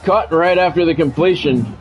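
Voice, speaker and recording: Male game streamer, fairly high voice, announcer-like clipped play calls mixed with easy viewer chat, music underneath. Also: normal speed. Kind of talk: sports commentary mixed with casual livestream chat.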